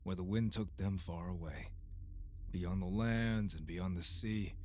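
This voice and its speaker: A man voicing a calm, grave rebel in an audio drama, speaking in a measured way. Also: deep voice, soft background music. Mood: solemn. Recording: steady background hiss.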